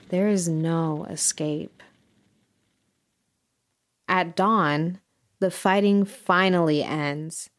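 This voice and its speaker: A woman playing a solemn documentary podcast host, delivering scripted narration in a measured way. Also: lowish voice. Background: music.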